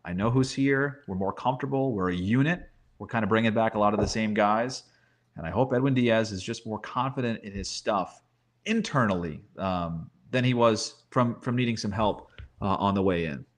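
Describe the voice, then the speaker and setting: A man's voice: on the low side, normal speed. A man giving casual sports analysis as a guest, thinking aloud and tossing in rhetorical questions.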